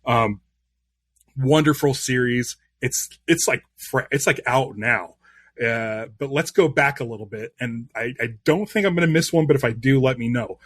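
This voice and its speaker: A man's voice: mid-range voice, normal speed, a bit bright, dry recording, studio quality. A man hosting a live-stream interview show, eager and rambling with plenty of restarts.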